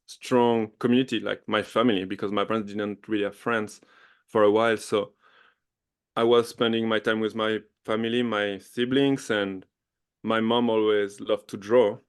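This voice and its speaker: A man's voice: on the low side, smooth. A man in his thirties with a French accent, chatting eagerly as a podcast guest, often laughing and restarting his sentences. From France. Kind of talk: casual interview chat.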